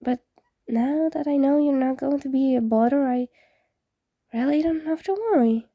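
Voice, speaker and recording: A woman's voice: sits high, moderate pace, dark tone, dry recording, studio quality, pitched above the performer's own voice, close to an everyday voice. A woman voicing an awkward, puzzled, apologetic teenage boy in a story, hesitant and rambling in a casual way. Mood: awkward, explaining.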